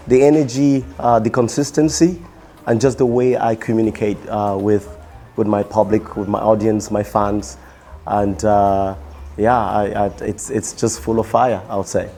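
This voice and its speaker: A young man, a musician answering quick-fire interview questions in a tired, strained voice, halting and repeating his words. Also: fairly low pitch, steady tone, dark tone, soft background music. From Cameroon. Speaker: musician guest.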